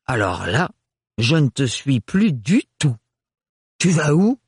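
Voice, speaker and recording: A husky-voiced male narrator voicing a prim, disapproving aunt in an audiobook. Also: a bass voice, big pitch swings, takes it slowly, a little mellow, no echo, clean studio sound, exaggerated voice.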